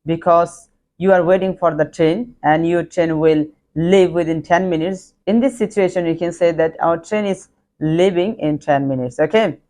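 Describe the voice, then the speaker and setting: A man's voice: on the high side, normal speed, darkish tone. Man teaching simple English. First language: Bengali. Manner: repeats example sentences in drills, simple phrasing. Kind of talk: online language lesson.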